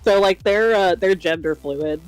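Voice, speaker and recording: A woman with a deep voice chatting casually on a stream, hesitant with many fillers. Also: measured pace, smooth, dry recording, faint music behind, poor audio. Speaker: guest streamer. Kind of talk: casual chat.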